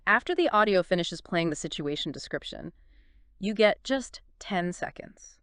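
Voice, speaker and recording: A woman's voice: lowish voice, expressive, normal speed, a bit bright, clean tone, no echo, clean studio sound. A woman hosting a podcast, talking through an explainer in a conversational but scripted way. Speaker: podcast host.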